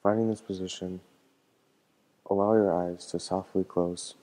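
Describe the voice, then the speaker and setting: A man with a low voice guides a meditation in calm, measured instructions. His tone is soft-edged and mellow, and his pitch stays very flat and even. He speaks gently, as a meditation teacher does.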